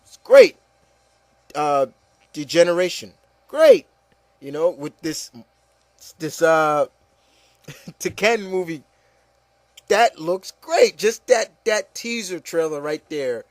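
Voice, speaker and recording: A man's voice: on the high side, animated, slow pace, long pauses, a little mellow, no echo. A man hosting a casual, opinionated discussion, talking emphatically and repeating himself with plenty of "you know". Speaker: host of an opinion show.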